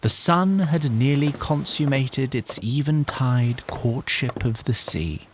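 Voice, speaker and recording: A man reading scripted narration for a fantasy audio drama in a deliberate, literary manner. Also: medium pitch, animated, noisy background. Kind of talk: scripted storytelling narration and credits. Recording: clear music bed underneath.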